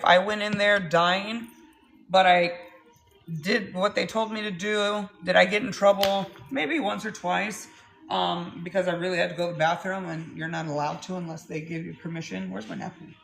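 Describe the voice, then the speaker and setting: Blunt woman, gravelly voice. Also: on the low side, fairly bright tone, rough recording. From Texas, US. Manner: blunt, swears freely, rambling. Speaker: vlogger. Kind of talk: candid storytelling to camera.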